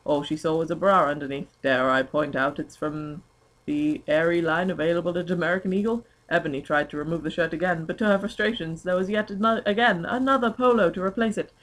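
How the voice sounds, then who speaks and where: A woman's voice: on the low side, steady tone, fairly quick, a bit bright, poor audio. A young woman giving a comic, mock-dramatic amateur reading of fan fiction, prone to giggling.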